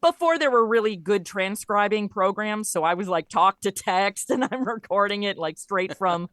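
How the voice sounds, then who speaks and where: A woman's voice: mid-range voice, moderate pace, fairly bright tone, no echo, clean studio sound. A woman speaking as an interview guest, telling stories in a casual, conversational way. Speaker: podcast guest, audio comedy creator.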